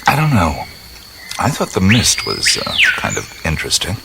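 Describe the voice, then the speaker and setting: An actor playing a curious, soft-spoken adventurer man who muses and hesitates, in a radio play. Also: sits low, wildly swooping pitch, normal speed, vocal fry, very noisy, exaggerated voice. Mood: musing. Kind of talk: radio drama acting. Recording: radio play with loud night insects.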